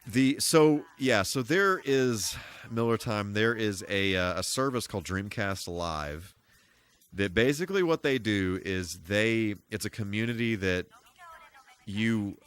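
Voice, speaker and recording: A man streaming a game and chatting casually, thinking aloud in a rambling, halting way with folksy, mild exclamations. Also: lowish voice, expressive, a bit bright, smooth. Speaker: live streamer, host. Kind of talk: casual gameplay live stream chat.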